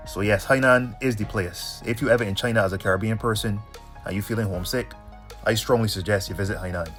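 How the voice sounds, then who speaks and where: A man in his thirties with a Bajan accent hosts a travel vlog. His voice sits a little low, and he talks in a casual, colloquial, laid-back style. Music plays faintly behind him. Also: quick pace, fairly bright tone. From Barbados. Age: 30s. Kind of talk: casual travel narration and interview answers.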